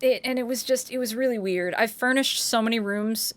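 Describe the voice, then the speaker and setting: A woman's voice: a little high, fairly quick. An American woman, a streamer and voice actor, chatting casually and rambling, with frequent restarts, swearing and laughter. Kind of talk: casual live-stream chat.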